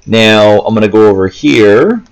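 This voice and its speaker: A man's voice: on the low side, measured pace, creaky, clear, resonant tone. A man giving casual gameplay commentary, explaining step by step in a rambling way. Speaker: gameplay video host. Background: faint game music.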